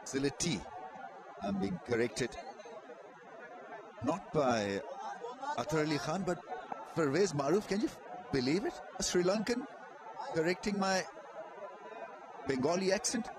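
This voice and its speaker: A man's voice: fairly high pitch, expressive, measured pace, pauses often. A man commentating on live cricket, giving descriptive, admiring analysis of the players. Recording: loud stadium noise behind.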